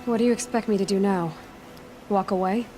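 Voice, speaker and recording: A woman's voice: medium pitch, steady tone, fairly quick, dark tone, soft background music, close to an everyday voice, rough recording. An actress playing a sceptical, determined young female tracker in a video game. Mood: guarded.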